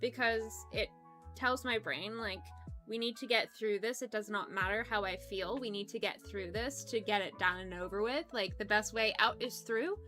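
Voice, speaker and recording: A woman's voice: medium pitch, moderate pace, sharp tone, soft background music. A young woman streaming, chatting casually with her viewers in a rambling, giggly way that often trips over words. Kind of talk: casual chat with live chat.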